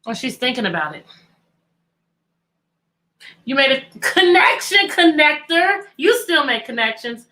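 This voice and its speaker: A woman's voice: sits high, big pitch swings, measured pace, long pauses, pushed and bright. A woman teacher hosting an interactive children's read-aloud, telling the story expressively and putting repeated questions to her listeners.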